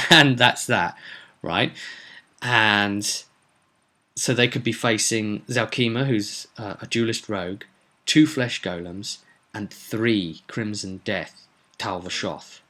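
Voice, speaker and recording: A man's voice: on the low side, slow pace, pauses often, sharp tone. A man hosting a casual solo vlog as a game master, explaining his plans for a tabletop game in an emphatic way.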